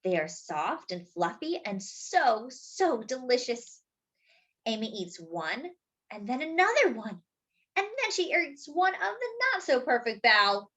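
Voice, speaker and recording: A woman reading a picture book aloud to young children as a storytime host, expressively, with drawn-out words and little asides to her listeners. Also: on the high side, very animated, fairly bright tone.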